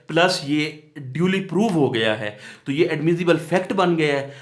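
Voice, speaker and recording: A man with a Pakistani accent giving an explanatory law lecture, reading out definitions and explaining them, often asking and answering his own questions. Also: on the high side. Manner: switches between Urdu-Hindi and English, reading definitions then explaining them.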